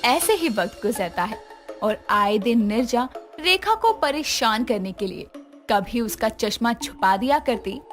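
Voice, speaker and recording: A woman's voice: on the high side, expressive, a little slow, faint music behind. A woman narrating a scripted moral story as its storyteller.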